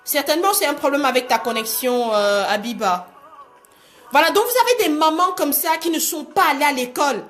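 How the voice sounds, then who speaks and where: A woman coaching online viewers in an animated, exhortative live talk, repeating phrases and asking rhetorical questions for emphasis. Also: high-pitched, a little fast, faint music behind. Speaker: motivational coach hosting a livestream.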